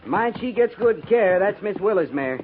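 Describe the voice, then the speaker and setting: An actor playing a folksy, loyal army sergeant with a rustic Western American accent, in 1950s radio drama style. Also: male voice, high voice, animated, normal speed. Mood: matter-of-fact, brisk. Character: folksy, loyal, plain-spoken army sergeant of the Old West. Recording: tinny, hissy 1950s radio recording.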